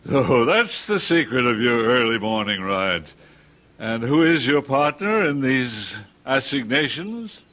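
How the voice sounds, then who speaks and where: Lively, hesitant, teasing uncle. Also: male voice, mid-range voice, a little slow. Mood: wry, teasing. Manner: hesitant, stammering, wry. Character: kindly, protective uncle, teasing and awkwardly tactful. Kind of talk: old-time radio drama acting. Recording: dull vintage radio drama recording.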